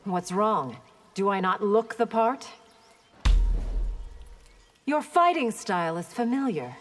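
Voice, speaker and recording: A woman playing a haughty, battle-hardened female army commander in a video game, imperious and deliberate. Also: medium pitch, animated, long reverb tail, soft background music. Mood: haughty, cool. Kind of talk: video game character acting.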